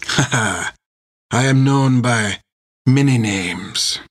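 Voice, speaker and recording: A wiry, gray-haired French sea captain from an adventure audiobook. He speaks slowly with a French accent, savoring his words with mock courtesy and cold menace. The sound is close and dry. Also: mid-range voice, dry recording. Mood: smooth menace. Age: older.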